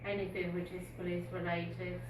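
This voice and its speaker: A woman's voice: fairly low pitch, little pitch movement, normal speed. A woman whose first language is Bulgarian, a police community support officer talking informally to a community group, with many fillers and restarts. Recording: echoey room, distant mic, noisy.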